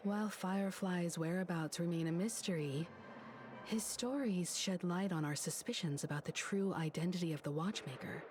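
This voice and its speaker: An actress playing a composed, sympathetic investigator in a video game, speaking in a measured, earnest way. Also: female voice, fairly low pitch, noisy background, close to an everyday voice.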